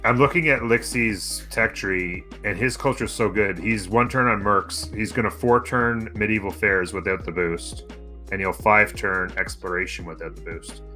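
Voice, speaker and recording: A man with a lowish but bright, clear-cut voice gives casual live commentary on a strategy game. He speaks in a halting way, working things out aloud. There is light music in the background. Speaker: co-caster and streamer.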